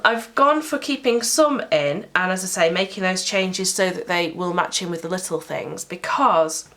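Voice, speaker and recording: Sniffly British woman, lowish voice. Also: a bit bright. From United Kingdom. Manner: chatty, explaining item by item. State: sniffly with a cold. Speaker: sticker shop owner. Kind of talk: product walkthrough vlog.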